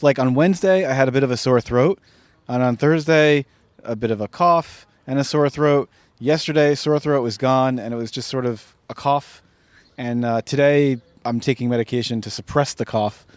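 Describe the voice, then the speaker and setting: A man co-hosting a podcast in casual chat, slightly hoarse from a lingering cough, with a dry, teasing sense of humor. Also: mid-range voice, moderate pace, no echo. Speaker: podcast co-host.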